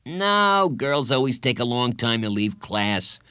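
A man's voice: mid-range voice, sing-song, a little slow, sharp tone, clean tone, no echo, pitched above the performer's own voice. A man voicing a boastful, defiant schoolboy bully with an emphatic, whiny delivery in a children's audiobook. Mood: scornful.

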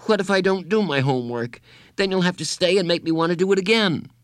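A man's voice: high voice, fast, clean tone, no echo, studio quality, voice pushed up, lightly acted. A man voicing an upset, stubborn schoolboy in an audiobook, whining and defiant. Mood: pleading, upset. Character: upset, stubborn schoolboy feeling betrayed and angry.

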